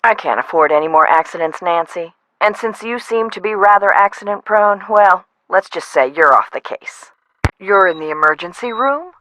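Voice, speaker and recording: A woman's voice: medium pitch, lively intonation, moderate pace, sharp tone, dry recording, low-quality recording. An actress voicing a frazzled, no-nonsense woman who runs an amusement park in a video game, conversational and dry. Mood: exasperated, sarcastic. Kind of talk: scripted video game voice acting.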